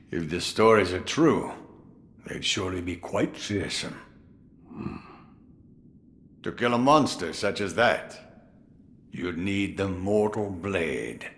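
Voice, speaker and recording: An actor playing a crafty, jovial old samurai sword master in a video game, musing theatrically with drawn-out amusement. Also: male voice, low voice, expressive, fairly bright tone, full character voice. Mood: grave musing. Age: older. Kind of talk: dramatic video game voice acting.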